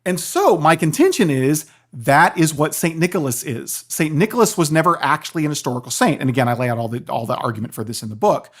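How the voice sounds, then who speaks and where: A man's voice: a little high, big pitch swings, quick pace, hardly any pauses. Male professor explaining at length. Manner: professorial, checks in with "right?". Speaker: guest philosophy professor. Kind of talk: explanatory interview talk.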